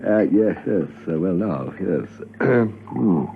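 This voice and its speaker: An actor playing a pompous, exasperated spy chief in a radio drama, blustering and given to hesitant asides. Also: male voice, deep voice, animated, slow, vocal fry, full character voice. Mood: smug. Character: pompous, exasperated male spymaster boss, fond of cocktails. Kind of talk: 1970s-style spy radio drama acting. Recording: muffled, hissy old radio recording.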